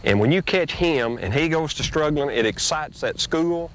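A middle-aged man, folksy and drawling, hosting a fishing show and teaching while he fishes from a bass boat on a lake. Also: moderate pace. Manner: folksy, drawling, excited when a fish bites. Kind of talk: casual outdoor instruction while fishing. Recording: noisy outdoor boat audio, 1990s tape.

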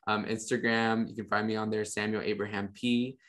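A young man on a video call, a podcast guest talking about his ministry in a relaxed, rambling way with many fillers. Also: lowish voice, brisk. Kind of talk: casual interview talk. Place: home room with monitors. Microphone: studio mic on a video call.

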